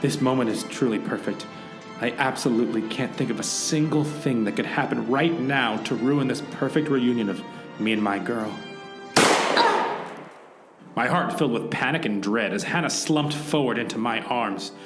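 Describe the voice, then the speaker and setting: A man's voice: mid-range voice, normal speed, natural voice. An actor playing an earnest, melodramatic hero who narrates his own adventure in an overwrought, theatrical way in a parody radio drama. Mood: tender, relieved. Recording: roomy echo, music underneath.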